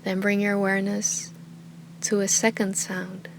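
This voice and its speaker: A woman teaching meditation with a calm, soothing, unhurried delivery that often trails into breathy softness. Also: medium pitch, slight background noise. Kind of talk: guided meditation, soft slow instruction.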